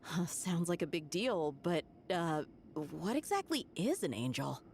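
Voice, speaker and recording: A woman's voice: on the low side, very animated, unhurried, darkish tone, a little hiss or hum. A woman playing a friendly, frank desert mercenary in a video game, casual and straightforward but polite. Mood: friendly, curious.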